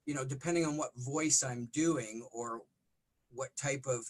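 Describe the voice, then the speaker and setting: A middle-aged man, a rock vocalist, talking in a casual interview with a smoky, raspy voice and lots of restarts. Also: medium pitch, measured pace. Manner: rambling, frequent restarts and fillers.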